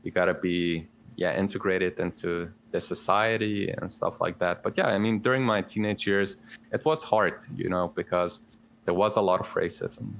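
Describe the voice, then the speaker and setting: A man's voice: low-pitched, fairly even intonation, moderate pace, creaky, a bit bright, a little hiss or hum. A man in his thirties with a Bosnian accent, chatting casually as a podcast guest, rambling with many fillers and restarts. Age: 30s. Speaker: guest content creator.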